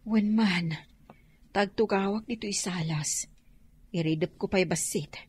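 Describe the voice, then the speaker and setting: A woman's voice: mid-range voice, unhurried, a little hiss or hum, close to an everyday voice. Woman acting a tired Ilocano working wife. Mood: weary. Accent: Ilocano, northern Philippines. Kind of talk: radio drama acting.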